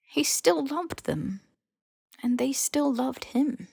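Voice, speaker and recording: A woman reading fan fiction as expressive scripted narration, with emotive, dramatic phrasing. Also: sits high, slow, creaky, no room sound, clean studio sound. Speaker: podfic reader.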